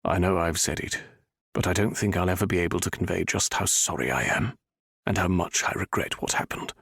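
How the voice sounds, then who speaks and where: A man voicing a heartsick young gentleman who speaks in a low, bitter murmur. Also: brisk, vocal fry, slightly rough, dry recording, clean studio sound, exaggerated voice. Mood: intent.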